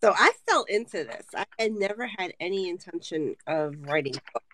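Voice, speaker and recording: A woman whose first language is Spanish, telling her story as an author on a casual video call with lively candor. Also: fairly low pitch, normal speed, rough recording. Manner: animated, candid storytelling. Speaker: author guest. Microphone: video call webcam.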